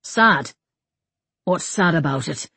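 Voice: medium pitch, expressive, slow pace, vocal fry, pushed and bright, husky, no room sound, full character voice. A narrator playing a proud, dutiful warrior, a man who speaks bluntly and warily in an audiobook. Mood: curt, defiant. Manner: curt, defensive, blunt. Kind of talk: audiobook character dialogue.

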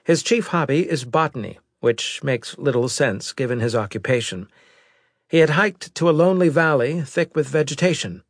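A man voicing a brisk, formal female military intelligence officer giving precise reports in an audiobook. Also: mid-range voice, lively intonation, no echo, studio quality, pitched above the performer's own voice.

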